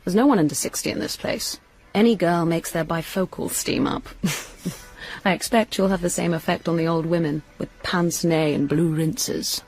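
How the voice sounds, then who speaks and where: Casting: bold young English socialite, low register, brisk and teasing, radio drama. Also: female voice, a little mellow, rough recording. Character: bold, teasing 29-year-old socialite actress.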